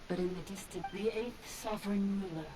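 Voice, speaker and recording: A woman voicing a solemn ancient sovereign reduced to a service robot in a video game, speaking in grave, deliberate phrases. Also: medium pitch, recorded from a distance, noisy background, lightly acted. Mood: solemn, humble.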